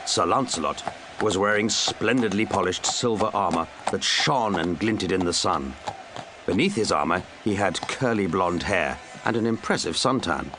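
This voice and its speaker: A man's voice: low voice, lively intonation, moderate pace, noisy background. A man narrating a comic children's story with dry, wry asides. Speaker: audiobook narrator.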